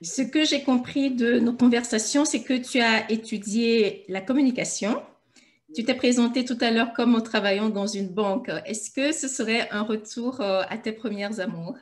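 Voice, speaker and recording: A woman hosting an interview on her own channel, asking measured, friendly questions. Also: fairly high pitch.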